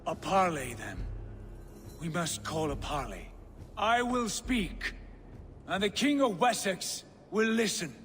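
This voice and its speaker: An actor playing a proud Viking jarl in a video game, speaking solemnly as if entranced by visions. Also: male voice, high-pitched, expressive, a bit bright, faint music behind, heavily acted. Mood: commanding. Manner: slow, solemn, portentous. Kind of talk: dramatic video game acting.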